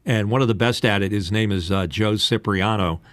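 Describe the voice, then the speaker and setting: Older guy late 60s, low voice, dry close recording, casual coaching chat, kinda rambly. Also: fairly quick, dry recording. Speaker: voice-over announcer and podcast host.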